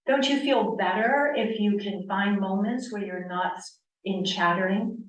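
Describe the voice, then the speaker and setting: A woman's voice: medium pitch, measured pace, roomy sound, recorded from a distance, dull audio. A woman in her sixties from Connecticut, a yoga teacher giving an earnest church talk full of rhetorical questions. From Connecticut, US East Coast. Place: church hall.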